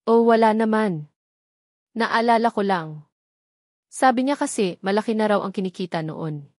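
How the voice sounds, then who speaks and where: A woman's voice: medium pitch, expressive, normal speed, a little mellow, dry recording, close to an everyday voice. Woman plays young Filipino heroine for story drama: grieving, falsely innocent, polite, guarded, dry recording. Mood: feigned innocence.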